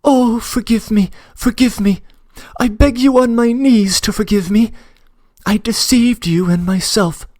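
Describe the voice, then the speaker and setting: A male reader voices a tearful young woman who begs forgiveness and swears her love. Her voice sits well above his own and leans forward with emotion. The delivery is slow, and the recording is close and dry. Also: high voice, darkish tone, dry recording, pitched above the performer's own voice. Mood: pleading. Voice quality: emotional, imploring. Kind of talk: audiobook reading.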